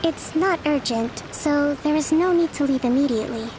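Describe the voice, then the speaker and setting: A woman plays a courteous leader in a video game, composed and formal. Her voice is high and measured, with clear noise in the background. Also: close to an everyday voice. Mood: composed, instructive. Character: composed, courteous female leader giving orders. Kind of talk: video game character acting.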